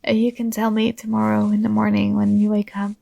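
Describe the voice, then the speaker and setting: A woman playing a possessive but tender dream princess in an ASMR roleplay, coaxing and gently commanding, close to the microphone. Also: mid-range voice, completely flat delivery, fairly quick, creaky, no echo, dull audio. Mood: doting, possessive. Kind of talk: ASMR roleplay, soothing intimate monologue.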